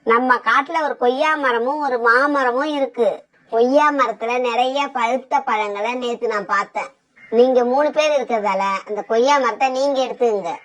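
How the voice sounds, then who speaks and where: A woman's voice: on the high side, normal speed, fairly bright tone, lightly acted. A woman voicing a bossy female bird, a wife and mother, in a cartoon, talking in a scolding, commanding way. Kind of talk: cartoon voice acting.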